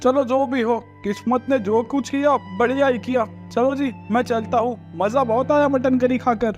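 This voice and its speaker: Cartoon townsman played by a man: courteous, expressive, extremely high male pitch, faint musical bed. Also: moderate pace, highs cut off, faint music behind. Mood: cheerful, appreciative. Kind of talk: Hindi cartoon story dubbing.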